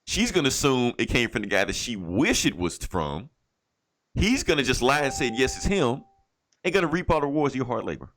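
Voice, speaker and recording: A man's voice: mid-range voice, big pitch swings, a little fast, a bit bright. A man from the United States hosting a casual podcast, telling stories in a joking, profane way. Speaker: comic book podcast host.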